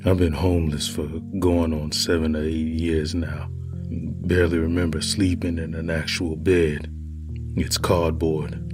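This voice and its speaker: Horror audio-drama read: a man playing a haunted homeless confessor, low and unhurried. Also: flat delivery. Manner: haunted, halting confession that builds to frantic. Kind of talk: dark audio storytelling with music. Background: music score.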